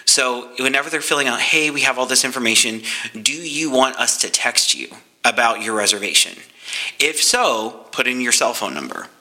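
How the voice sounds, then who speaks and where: A man's voice: mid-range voice, lively intonation, normal speed, pushed and bright, poor audio. A man talking about his business in a casual, enthusiastic, playful way. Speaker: podcast guest, photo booth business owner.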